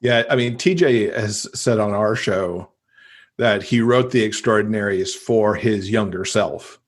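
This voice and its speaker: A man's voice: lowish voice, measured pace. A man, middle-aged, talking casually and enthusiastically as a guest in an interview about books. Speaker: podcast guest, avid reader.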